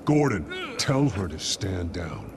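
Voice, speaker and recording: An actor playing a grim masked vigilante in a video game, with a gravelly growl and clipped, threatening delivery. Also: male voice, deep voice, sing-song, slow pace, noisy background, heavily acted. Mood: stern, controlled. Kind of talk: dramatic video game acting. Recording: loud music behind the voice.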